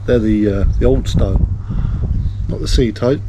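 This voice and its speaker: A man's voice: on the low side, normal speed, dull audio, background noise. A man giving a casual, informal unboxing commentary in an off-the-cuff way. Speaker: video host reviewing a product.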